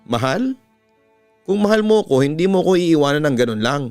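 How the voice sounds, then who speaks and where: A man's voice: a little high, expressive, normal speed, darkish tone, clean tone, no echo. A man with a Filipino accent voicing an emotional young woman, a sweetheart in a dramatized love story. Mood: hurt, reproachful. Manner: emotional, emphatic. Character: hurt, loving young woman, former sweetheart. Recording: music bed under the voice.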